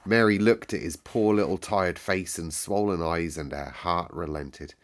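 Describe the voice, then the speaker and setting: A man's voice: low-pitched, unhurried. A man narrating a storybook as host, with casual chatty asides to listeners. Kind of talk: read-aloud storybook narration and casual chat.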